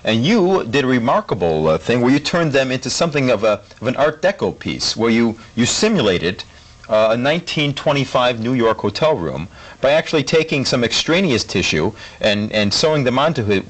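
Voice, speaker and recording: A man's voice: medium pitch, big pitch swings, normal speed, fairly bright tone. A man playing a straight-faced, curious TV interviewer in a mock interview, earnest and deferential, with hesitant phrasing. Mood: earnest, impressed. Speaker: talk show host.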